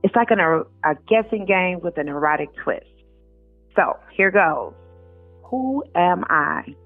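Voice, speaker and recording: A woman's voice: on the low side, dry recording. A woman author speaking informally as a guest, recorded in dull lo-fi audio with music behind her. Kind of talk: reading aloud and informal interview talk.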